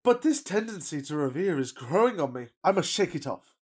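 An actor playing an impulsive, fickle gentleman suitor, effusive and florid, in a Victorian radio farce. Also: a little high, big pitch swings, brisk, slightly rough. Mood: smitten musing. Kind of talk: farcical Victorian radio play acting.

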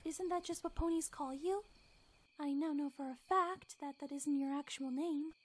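Woman voicing a very high, timid young pony girl. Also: fairly even intonation, moderate pace, lightly acted. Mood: gently curious. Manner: hesitant, apologetic, softly earnest. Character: timid, gentle, apologetic young female pony. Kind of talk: animated fan drama acting.

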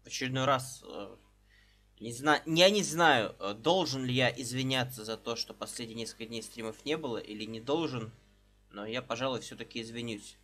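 A man's voice: mid-range voice, normal speed, fairly bright tone. A man in his thirties on a live stream, chatting casually from a small room into a headset and greeting viewers one by one. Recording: game music under the voice.

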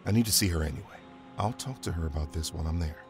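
A man's voice: deep voice, rapid-fire, darkish tone. A man acting a world-weary, dry-witted police detective in an audio drama, loyal and sardonic. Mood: protective. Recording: prominent music under the dialogue.

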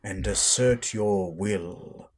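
A man playing a menacing, controlled Sith master in a guided-meditation roleplay, speaking deliberately and commandingly, often near a whisper. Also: fairly low pitch, a little hiss or hum. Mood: calm, hushed, controlling. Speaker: roleplay audio performer. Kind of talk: slow guided-meditation roleplay, breathy dramatic monologue. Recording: voice over loud background music.

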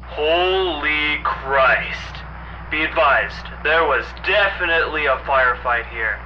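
A man's voice: a little high, animated, slow. A man playing a shaken soldier reporting over a military radio in an audio drama. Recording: dull lo-fi radio with faint noise.

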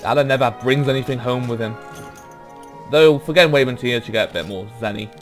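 A man's voice: mid-range voice, fairly quick, faint music behind. A young Welsh man giving rambling, laid-back let's play commentary, trailing off with ums as he goes through menus. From Wales. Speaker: gaming YouTuber.

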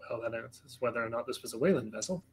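A man's voice: medium pitch, animated, very fast, slightly rough. A man playing a polite, company-minded spaceship crew member in an online roleplay, with formal, careful speech. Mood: measured. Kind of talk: improvised online tabletop roleplay.

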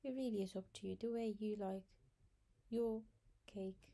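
A woman's voice: mid-range voice, steady tone, normal speed, mellow. A woman hosting a home cooking video, chatting through each step in a casual voice-over. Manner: chatty, talks viewers through steps with fillers. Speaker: cooking channel host.

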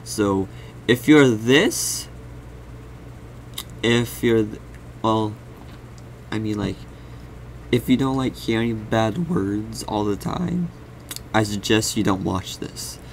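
A young man talks through a casual reaction video in a fairly low voice. He goes slowly and haltingly, rambling as he comments. Some background noise sits behind him. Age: young adult. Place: dim room indoors.

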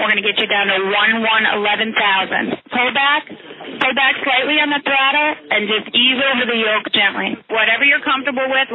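A woman's voice: on the high side, narrow, tinny audio. A woman air traffic controller giving reassuring, encouraging instructions to a pilot over aviation radio.

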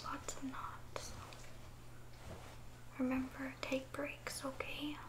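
A woman playing an affectionate, gently teasing girlfriend in a soft, soothing ASMR roleplay, speaking close to the microphone. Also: sits high, completely flat delivery, extremely slow. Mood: caring, soothing. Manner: soft, soothing, giggly, caring.

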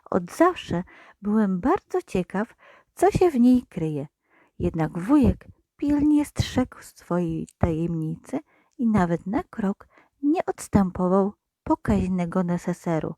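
A woman narrating a children's audio story in a slow, expressive reading voice with lively rises and falls. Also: mid-range voice, dry recording.

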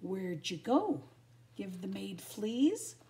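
A scruffy male pound dog from a storybook, acted out by a woman. He is a streetwise tease with slangy wisecracks, dragged out in a slow drawl. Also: mid-range voice, lively intonation, warm tone. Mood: teasing.

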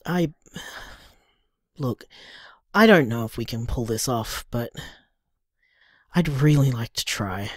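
Earnest young Australian boyfriend, close dry sound. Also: male voice, mid-range voice, expressive, slow pace, pauses often, no room sound. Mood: hopeful, hesitant. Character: affectionate young boyfriend, earnest and gently rambling. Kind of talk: audio drama played as voicemail messages.

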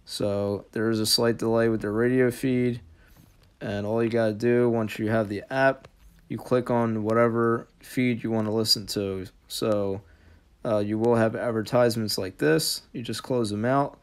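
A man's voice: lowish voice, fairly even intonation, normal speed, clean tone. A man giving a casual how-to voice-over as the host of an app tutorial.

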